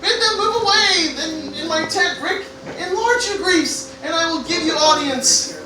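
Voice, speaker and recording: A woman's voice: very high voice, animated, unhurried. A woman voicing an earnest teenage boy who pleads and declaims in mock-Shakespearean style for a parody radio play. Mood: earnest pleading. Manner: declamatory, imploring, stilted. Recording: very echoey, distant mic, noisy.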